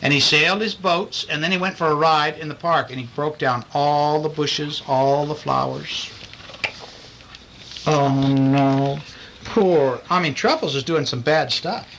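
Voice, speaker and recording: A man's voice: a little high, unhurried, fairly bright tone. A man, a father, reading a bedtime storybook to children with playful asides and acted-out touches. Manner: playful, acts out lines and sound effects. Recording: noisy amateur home recording.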